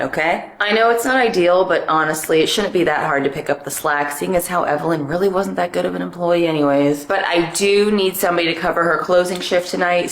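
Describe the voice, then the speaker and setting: A blunt, condescending manager, played by a woman, brushing off objections. The voice is on the low side and rings in a roomy space. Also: lightly acted. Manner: curt, condescending. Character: bossy female manager, dismissive and blunt.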